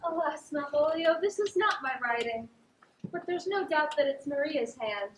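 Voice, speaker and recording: A woman's voice: high voice, expressive, moderate pace. A woman playing an earnest, well-spoken noblewoman in a theatrical stage comedy. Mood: composed. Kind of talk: theatrical stage acting of classic verse comedy. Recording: echoey stage, distant mic, faint noise.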